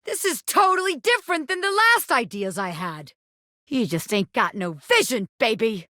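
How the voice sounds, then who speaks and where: A woman voicing a cocky, bossy small-time gangster boss, a living pin in a video game, who talks tough but has a soft spot. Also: fairly high pitch, big pitch swings, moderate pace, dry recording, clean studio sound. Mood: scheming, cocky. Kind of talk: video game character voice acting.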